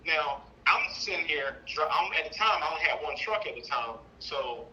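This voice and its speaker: Man aged thirty, higher-pitched, sharp bright tone, rambling casual storyteller, caller seeking advice. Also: animated, moderate pace, dull audio. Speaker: caller seeking advice, trucking business owner. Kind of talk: casual personal storytelling in a consultation call.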